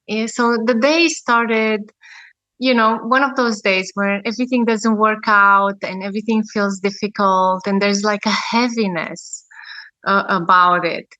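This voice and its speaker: Woman, 30s, podcast guest; slow, reflective storytelling with frequent pauses, bright tone. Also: mid-range voice, dry recording, low-quality recording. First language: Romanian. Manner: reflective, with many pauses and fillers. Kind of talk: personal storytelling.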